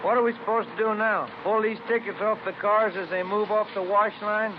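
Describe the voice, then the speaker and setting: A police detective's partner acted by a man, with a rather high voice and a plain, matter-of-fact way of talking. It comes from an old radio drama with a noisy, muffled recording. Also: steady tone, fairly quick, natural voice. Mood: casual, businesslike. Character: businesslike male police detective, the hero's partner. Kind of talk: 1950s radio crime drama acting.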